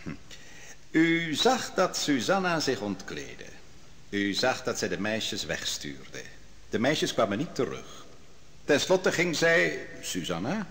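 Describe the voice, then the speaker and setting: A probing young prophet-judge. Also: male voice, mid-range voice, fairly bright tone, in a large hall, heavily acted. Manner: probing, measured. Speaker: interrogator in court. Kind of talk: vintage radio drama acting.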